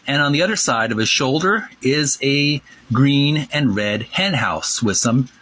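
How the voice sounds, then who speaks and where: A man hosting informal video commentary, halting as he goes and drawing out key words for emphasis. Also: mid-range voice, animated, pushed and bright. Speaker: host of a retro gaming channel. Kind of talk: informal video commentary with read-aloud passages.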